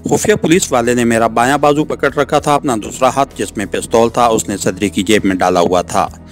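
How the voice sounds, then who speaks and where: A man's voice: mid-range voice, a little fast. A man reading a first-person suspense novel as a scripted audiobook narration. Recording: music under the voice. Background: background music.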